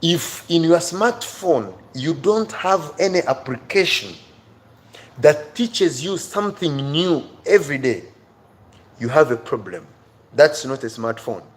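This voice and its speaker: A man's voice: fairly high pitch, very animated, a little slow. A man with an East African accent teaching a live online English class informally, emphatically repeating key words and phrases. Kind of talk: informal live-stream lesson, addressing viewers by name.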